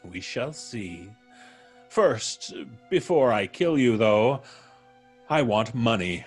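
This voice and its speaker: Slow, gloating vengeful ex-convict. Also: male voice, mid-range voice, lively intonation, husky, faint music behind. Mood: cold, threatening. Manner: theatrical, drawn-out, florid diction. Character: vengeful, gloating male ex-convict, theatrical and menacing. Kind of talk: old-time radio drama acting.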